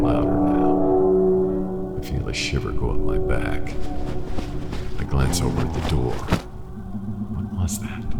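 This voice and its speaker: A man playing a hard-boiled detective who narrates his own story in a radio drama, gravelly and measured, with brooding suspense. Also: exaggerated voice. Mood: anxious suspense. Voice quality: gravelly, very deep. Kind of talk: first-person scripted radio drama narration. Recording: long reverb, music underneath.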